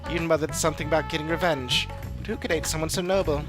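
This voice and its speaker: A man's voice: a little high, fairly even intonation, racing, natural voice. A man voicing an indignant, devoted follower in a video game, aggrieved and earnest. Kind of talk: scripted video game dialogue. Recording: game music behind voice.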